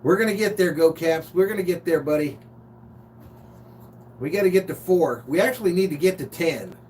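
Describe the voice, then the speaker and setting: Informal American man streaming, high-pitched, distant mic. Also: animated, moderate pace, a little mellow. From Los Angeles, United States. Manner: informal, chummy, reads out chat comments. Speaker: live stream sports host. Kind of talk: casual chat with viewers and game commentary. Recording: distant mic, noisy hum.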